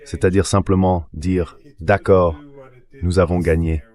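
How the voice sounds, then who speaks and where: Male analyst, low voice, measured and explanatory interview delivery. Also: flat delivery, darkish tone. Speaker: guest political analyst. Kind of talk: explanatory geopolitical commentary.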